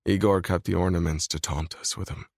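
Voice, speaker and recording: A man voicing a brooding, controlled mafia boss in a scripted audiobook reading, low and grave. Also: fairly even intonation, fairly quick, vocal fry, no echo, clean studio sound. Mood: grim. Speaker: male lead voice in audiobook.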